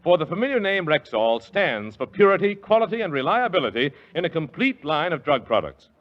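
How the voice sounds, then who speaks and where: A man announcer reading a scripted radio commercial as a smooth, emphatic sales pitch. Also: big pitch swings, a little fast. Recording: dull lo-fi 1940s radio.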